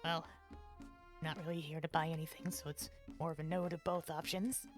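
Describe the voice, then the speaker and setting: A woman playing an earnest, sweet, awkward teenage warrior in an animated fandub, eager and easily flustered in delivery. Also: low-pitched, normal speed, soft background music, lightly acted. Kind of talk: animated fantasy fandub acting.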